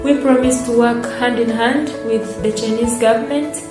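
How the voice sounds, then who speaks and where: A woman's voice: a little high, fairly even intonation, moderate pace. A woman postgraduate student from Tanzania giving a formal, earnest video message. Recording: echoey room with music.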